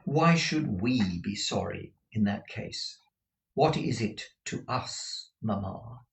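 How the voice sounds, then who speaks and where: A man voicing a gentle, modest young woman, a dutiful storybook daughter who protests earnestly. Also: mid-range voice, very animated, measured pace, recorded from a distance, poor audio.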